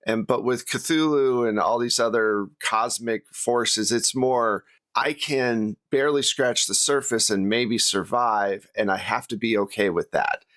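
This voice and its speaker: A man's voice: medium pitch, unhurried, fairly bright tone, dry recording. A man with a mid-range voice hosts a tabletop gaming chat in a dry, close recording. He rambles conversationally and poses questions in an easygoing, informal style. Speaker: host and game master of a tabletop actual-play show. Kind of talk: casual panel debrief.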